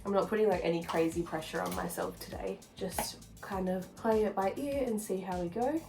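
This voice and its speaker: A woman's voice: medium pitch, normal speed. A woman chatting informally to camera in a personal vlog, rambling and confiding in the listener. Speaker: vlogger. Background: background music.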